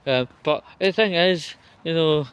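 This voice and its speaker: A man with a slightly high voice rants off the cuff at an unhurried, dragging pace. He is sardonic and sweary, breaking his commentary into short halting chunks. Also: fairly bright tone, clear, resonant tone, muffled recording. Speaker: vlogger giving political commentary. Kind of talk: off-the-cuff spoken rant.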